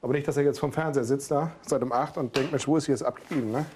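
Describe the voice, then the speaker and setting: A man's voice: mid-range voice, steady tone, very fast, background noise. A male nurse with a Berlin accent, chatting and joking with patients at the bedside. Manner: chatty, joking, tags phrases with "ne?". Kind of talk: friendly bedside chat with patients. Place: hospital emergency department.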